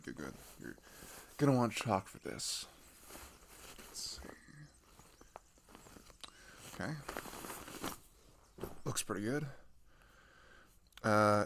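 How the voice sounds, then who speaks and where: A man playing a friendly, chatty teenage migrant boy in an ASMR roleplay, talking casually and haltingly with pauses and sighs. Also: lowish voice, a bit bright, slight background noise, heavily acted. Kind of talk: ASMR roleplay, one-sided conversational acting.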